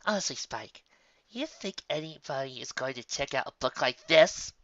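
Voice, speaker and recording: A man voicing an excitable, bookish and smug female unicorn librarian in a storybook reading, with emphatic delivery. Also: medium pitch, normal speed, no echo, muffled recording, natural voice. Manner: gushing, emphatic.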